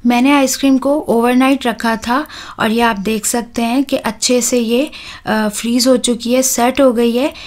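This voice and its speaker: A woman, hosting a home cooking channel and talking calmly through a recipe step by step, with soft "uh" fillers. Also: fairly high pitch, a little slow. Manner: calm, instructive, with soft "uh" fillers.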